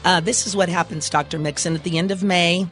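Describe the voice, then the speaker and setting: An older woman co-hosts a live call-in radio show, her voice froggy and hoarse from seasonal allergies. She talks in a bright, chatty, upbeat way and laughs easily. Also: on the low side, fairly even intonation, brisk, faint music behind. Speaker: radio co-host.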